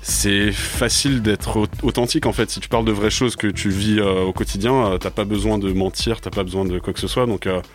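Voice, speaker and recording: A man's voice: on the low side, fairly even intonation, fairly quick, few pauses. A man in his twenties, a native English speaker, answering a radio interview in a studio with many hesitations and fillers. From France. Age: 20s. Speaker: rapper guest.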